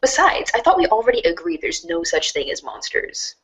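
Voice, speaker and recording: A woman narrating a second-person storybook in an expressive, wry way. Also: a little high, a little fast.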